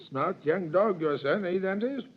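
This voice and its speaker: A man's voice: high-pitched, animated, takes it slowly, heavily acted. An actor playing a sly, teasing middle-aged male tailor in a 1930s radio drama, with an insinuating, chuckling manner, on a tinny old recording.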